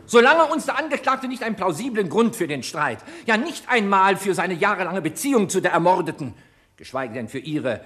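Radio drama: a stern prosecutor, higher voice, lively pitch, brusque. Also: male voice, brisk. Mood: accusing. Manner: clipped, commanding. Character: stern male public prosecutor, authoritative. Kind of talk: vintage radio play acting.

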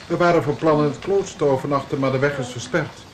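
An actor playing a reserved travelling friar, polite and guarded, in a radio play. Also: male voice, on the high side, quick pace, a crowd in the background, lightly acted. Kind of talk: radio drama acting.

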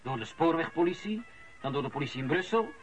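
A man's voice: on the high side, lively intonation, fast talker, faint music behind, lightly acted. An actor playing an indignant, defensive male suspect who argues back with a Flemish accent in a radio play. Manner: argumentative, protesting. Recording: radio play with clear background noise.

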